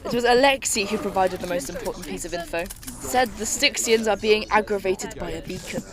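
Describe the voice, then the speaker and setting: A woman's voice: medium pitch, a little fast, lightly acted. A woman playing a decisive, dryly wry military commander in an audio drama. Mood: wry, businesslike. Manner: clipped, decisive, dry.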